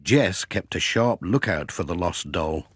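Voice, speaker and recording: A man narrating a children's storybook in a measured, expressive storytelling manner. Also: deep voice, husky, no echo, studio quality. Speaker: audiobook narrator.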